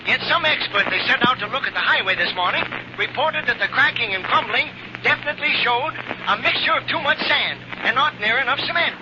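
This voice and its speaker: An actor playing a hard-boiled, eager American newspaper editor in an old-time radio drama, slangy and punchy. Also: male voice, very high voice, expressive, fairly quick. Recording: muffled, very noisy vintage radio recording.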